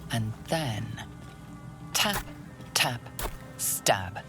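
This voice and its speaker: Very deep, slow, ominous narrator. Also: female voice, expressive, over music, full character voice. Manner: deliberate, suspenseful. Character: grave female storyteller narrator, ominous and measured. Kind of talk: dramatic scripted game narration.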